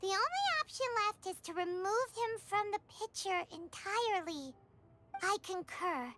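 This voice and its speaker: A woman voicing a small, excitable girlish fairy companion in a video game, with a squeaky childlike voice and expressive delivery. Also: normal speed, background noise. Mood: investigating, thoughtful. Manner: expressive, refers to herself by name. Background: game music.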